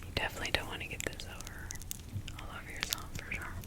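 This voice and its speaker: A woman hosting ASMR, speaking softly in a breathy whisper. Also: fast talker. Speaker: ASMR host.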